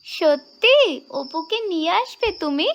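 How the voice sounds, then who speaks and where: A woman voicing a gentle, dutiful young married village woman in a cartoon folk tale, girlish and earnest. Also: extremely high pitch, expressive, a little slow, a little hiss or hum. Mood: anxious.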